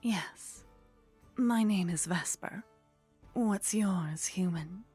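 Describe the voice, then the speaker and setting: Woman acting a slow, breathy, flirtatious vampire lady. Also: lowish voice, mellow, soft background music, exaggerated voice. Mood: sheepish, polite. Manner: slow, teasing, breathy murmur slipping into whispers. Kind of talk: ASMR roleplay. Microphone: close microphone.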